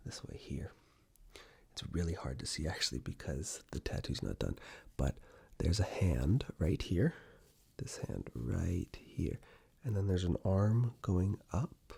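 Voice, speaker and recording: Male ASMR host: low, very husky, hushed and half-whispered, chatting intimately to camera. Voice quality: hushed, breathy, often dropping into a whisper. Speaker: ASMR video creator and host. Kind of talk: ASMR, soft-spoken personal ramble to camera.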